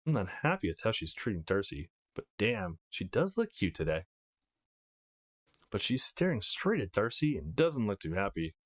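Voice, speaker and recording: Man playing an awkward young guy, swinging pitch. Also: sing-song, normal speed. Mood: admiring. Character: awkward, aroused young man, visual novel protagonist.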